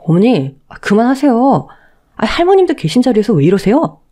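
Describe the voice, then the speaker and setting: A woman voicing a gentle, polite, earnest man in his thirties in a radio story drama. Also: a little high, big pitch swings, moderate pace, voice pushed up. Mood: pleading protest. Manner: soft-spoken, polite, earnest.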